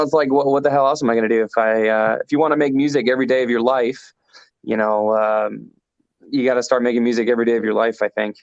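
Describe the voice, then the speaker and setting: A man's voice: medium pitch, no room sound. A man chatting casually as a band vocalist being interviewed online, joking and swearing freely. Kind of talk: casual banter on an online music show. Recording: dull lo-fi call audio.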